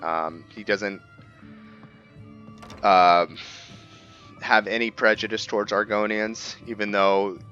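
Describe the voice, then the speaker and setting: A man with a very low, bright voice commentates casually on a game. He speaks slowly and haltingly, pausing as he thinks aloud. Faint music plays underneath. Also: steady tone, highs cut off. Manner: halting, thinking aloud with long hesitant pauses. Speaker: gamer host of a roleplay series.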